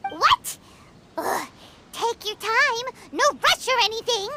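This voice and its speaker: A woman's voice: very high voice, animated, slow, bright, forceful tone, slight background noise, exaggerated voice. A woman voicing a tiny, chirpy, cheeky fairy companion with a childlike manner in a video game. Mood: exasperated, curious. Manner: childlike, refers to self in third person.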